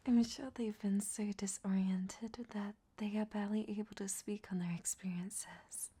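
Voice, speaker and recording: A woman's voice: medium pitch, fairly even intonation, normal speed, warm tone, lightly acted. A woman playing a vain, flirtatious captive vampire in a whispered roleplay audio drama, with a coy, teasing delivery. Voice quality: breathy, whispery.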